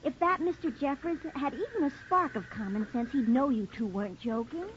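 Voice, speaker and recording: Indignant young college girl, high voice. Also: expressive, fairly quick. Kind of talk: 1940s radio drama acting. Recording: tinny, hissy 1940s radio recording.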